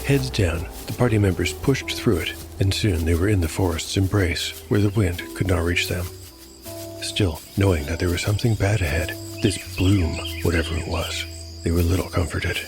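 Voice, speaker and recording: A man narrating a solo fantasy role-playing show as game master, in a measured, explanatory scripted style. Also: low voice. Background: music.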